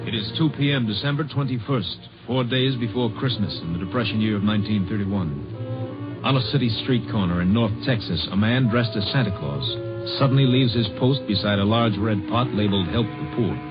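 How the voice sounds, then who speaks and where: Low American radio narrator over music. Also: male voice, fairly quick. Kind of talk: dramatic scripted old-time radio narration. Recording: muffled old radio with music.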